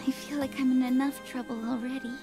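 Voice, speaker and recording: A woman voicing a timid, gentle, earnest teenage girl in a visual novel, hesitant and soft-spoken. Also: high voice, flat delivery, quick pace, music in the background.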